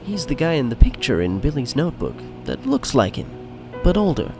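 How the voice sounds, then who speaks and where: A man's voice: a little high, huge pitch swings, brisk, vocal fry, over music, full character voice. A man playing the wry, dramatic narrator of a scripted audio drama.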